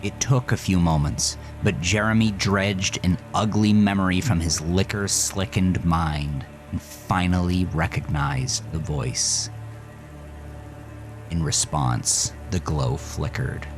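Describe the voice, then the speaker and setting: A man's voice: low voice, slow, faint music behind, rough recording. A man narrating a horror story with brooding intensity.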